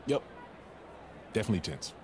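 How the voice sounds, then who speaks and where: An actor playing a self-doubting young basketball prospect in a video game cutscene, talking casually and hesitantly. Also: male voice, a little fast, close to an everyday voice. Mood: tense. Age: young adult. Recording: clear ambient noise behind dialogue.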